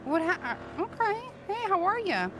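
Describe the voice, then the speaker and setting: A woman improvising casual in-character chat in a role-play game, talking in an animated, chatty way. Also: extremely high pitch, normal speed. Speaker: role-play gamer voicing a car passenger. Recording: clear car engine noise.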